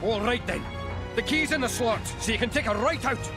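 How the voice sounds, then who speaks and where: An actor playing an easygoing, obliging boat owner, casual and agreeable, in a video game cutscene. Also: male voice, very high-pitched, fairly quick, music in the background. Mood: obliging, curious.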